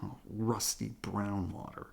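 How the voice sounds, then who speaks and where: A man reading a children's picture book aloud in an animated storytelling style. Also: lowish voice, slow pace, creaky, breathy edge. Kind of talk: animated read-aloud narration.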